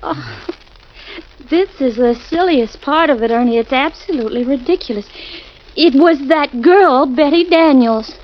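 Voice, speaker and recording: An actress playing a nervous young wife shaken by a nightmare, breathless and pleading, in 1940s radio acting style. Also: female voice, high voice, unhurried. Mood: earnest. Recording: muffled old radio recording with hiss.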